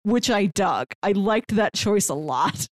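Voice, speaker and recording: A woman's voice: mid-range voice, expressive, a little slow, no echo, studio quality. A woman co-hosting a podcast, chatting casually, speaking with enthusiasm and laughing easily. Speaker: podcast co-host. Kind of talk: casual chat.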